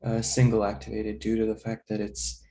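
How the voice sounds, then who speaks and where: Soft-spoken young man, gravelly. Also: on the low side, monotone, a little fast. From Serbia. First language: Serbian. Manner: hesitant, many fillers. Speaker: guest. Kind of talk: casual interview chat.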